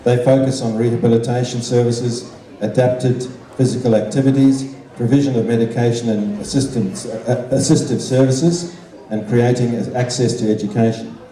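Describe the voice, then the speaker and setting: An older man giving a measured, formal prepared speech to an evening gathering. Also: medium pitch, steady tone, warm tone. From Zimbabwe. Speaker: guest speaker, art teacher and children's author. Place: large indoor function room. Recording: roomy, echoing hall sound, distant microphone.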